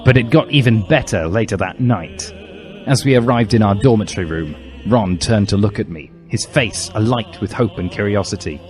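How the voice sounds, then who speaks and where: A man's voice: on the low side, moderate pace. A man reading a story aloud as a mock-serious, bombastic dramatic narrator. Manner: theatrical, bombastic. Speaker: reader of a fan fiction story. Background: music.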